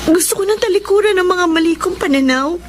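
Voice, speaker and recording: High-voiced, earnest young woman. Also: a little fast. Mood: earnest, pleading. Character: remorseful proud young woman seeking forgiveness. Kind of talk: Filipino radio drama acting. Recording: noisy radio drama recording.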